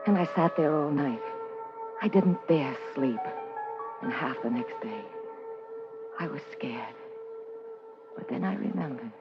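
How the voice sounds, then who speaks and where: A woman's voice: low-pitched, animated, a little slow, long pauses. An actress playing a brazen, scheming, slangy underworld woman in an old-time radio crime drama. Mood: hard-boiled narrating. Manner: brassy, defiant, slangy. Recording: dull lo-fi old radio recording with music.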